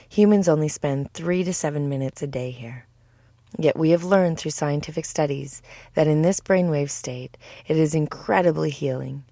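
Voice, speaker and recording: A woman with a low, mellow voice guides a yoga nidra practice. She phrases everything deliberately and soothingly, like a teacher leading relaxation. The recording is close and dry, with no room sound. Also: no echo. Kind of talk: guided meditation narration.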